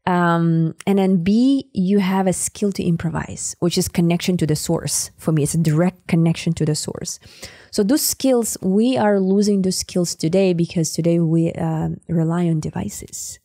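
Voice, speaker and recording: Sakha-accented woman, dark low voice. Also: moderate pace, no echo, studio quality. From Sakha Republic, Siberia. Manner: searching for words with many fillers. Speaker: guest. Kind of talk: conversational interview.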